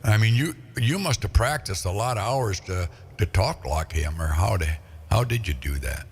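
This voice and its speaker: A man's voice: fairly low pitch, expressive, moderate pace, slightly rough. A man hosting a radio chat, easygoing, laughing a lot and asking rambling questions. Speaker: radio host.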